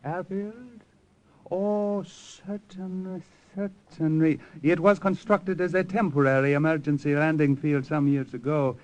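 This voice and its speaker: An actor playing a courtly, gallant Siamese colonel in an old-time radio drama, speaking in a polished, formal, measured way. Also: male voice, on the high side, fairly even intonation, a little mellow, close to an everyday voice. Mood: smooth, evasive. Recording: dull vintage radio recording.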